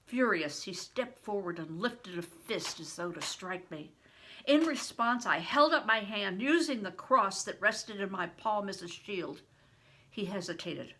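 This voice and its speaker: An older woman reading a children's novel aloud in an expressive storytelling manner. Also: mid-range voice, unhurried. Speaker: reader.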